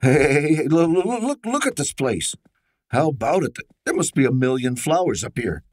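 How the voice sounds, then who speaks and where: A man's voice: medium pitch, lively intonation, unhurried, dry recording. Man acting a flustered, swooping radio crook. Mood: awed, flustered. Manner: stammering, nervous, slangy. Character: shifty, flustered small-time forger, evasive streetwise man. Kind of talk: old-time radio drama acting.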